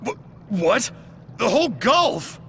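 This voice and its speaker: An actor playing a casual, eager young companion in a video game, with an informal manner. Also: male voice, extremely high pitch, sing-song, takes it slowly, bright, forceful tone, full character voice. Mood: startled. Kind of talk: scripted video game acting.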